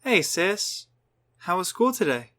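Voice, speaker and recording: A man voicing a gentle, encouraging young male pony, an older brother who speaks in a soft, coaxing, concerned way in a read-aloud story. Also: high-pitched, sing-song, slow, voice pushed up, heavily acted. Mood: gently coaxing.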